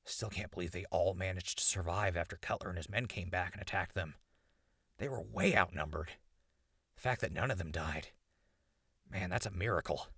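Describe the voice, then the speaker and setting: An actor playing a sardonic, laid-back ghoul survivor in an audio drama, raspy and gravelly, with a casual, teasing way of talking. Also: male voice, sits low, moderate pace, a bit bright, no echo, clean studio sound, heavily acted. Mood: wry nostalgia. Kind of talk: post-apocalyptic audio drama acting.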